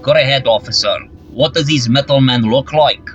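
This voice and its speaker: A man's voice: mid-range voice, moderate pace, a bit bright, highs cut off, faint music behind, close to an everyday voice. A man playing a brash, impatient, blunt base crew member in a fan audio drama. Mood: impatient, irritated.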